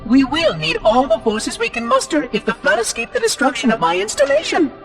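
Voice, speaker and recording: 343 Guilty Spark, a prim high-voiced robot. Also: male voice, huge pitch swings, creaky, over music, heavily acted. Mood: fussy. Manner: prim, condescending, quick. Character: fussy chirpy male AI monitor robot.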